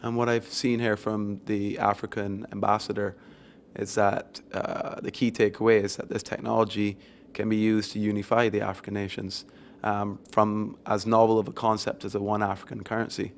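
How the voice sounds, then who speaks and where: A man from Barbados answering interview questions in a measured, reflective way with frequent ums, speaking into a handheld mic at an event venue. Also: on the low side, level tone, gravelly, a little hiss or hum. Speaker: interview guest. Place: event venue with windows, seated on sofa.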